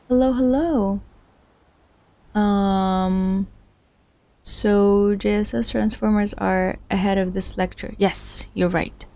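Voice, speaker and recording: Slow, gravelly woman streamer musing aloud. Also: mid-range voice, no room sound. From Iraq. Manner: musing, self-deprecating, chats with viewers. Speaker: livestream host studying along. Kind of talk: casual thinking aloud while following a lecture.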